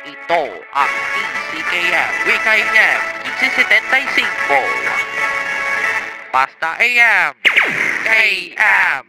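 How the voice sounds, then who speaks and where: Man, Filipino accent, radio announcer, slow drawn-out delivery, loud music bed. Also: sits high, big pitch swings, poor audio. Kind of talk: radio news program announcing.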